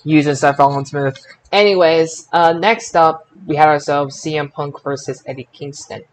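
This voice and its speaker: A man's voice: a little high, steady tone, normal speed, low-quality recording. A man hosting a casual wrestling results discussion, stumbling over names and repeating himself. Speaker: podcast host. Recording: clear background noise.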